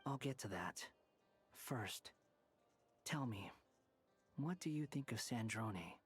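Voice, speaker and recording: Brooding young schemer over music. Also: male voice, medium pitch, animated. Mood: guarded. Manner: deliberate, cynical. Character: brooding young man, aloof, vengeful, calculating. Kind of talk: video game voice acting.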